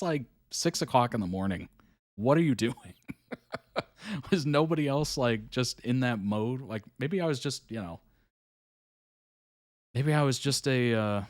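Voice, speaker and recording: A man's voice: lowish voice, no echo, clean studio sound. A man hosting a live reaction stream, chatting casually with viewers, laughing often and using lots of fillers.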